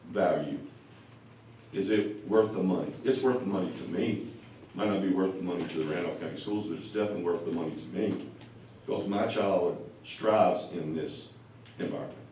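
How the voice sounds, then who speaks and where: A middle-aged man, a parent, slightly hoarse, speaking plainly in public comment at a school board meeting. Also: low voice, dark tone, slight background noise. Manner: plainspoken, asks the board pointed questions. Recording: very echoey room, distant mic.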